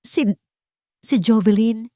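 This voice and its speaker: A woman's voice: on the high side, takes it slowly, mellow, no echo, studio quality, voice pushed up. A woman voicing a shaken, trusting adult man, a father, alarmed and disbelieving in an audio drama.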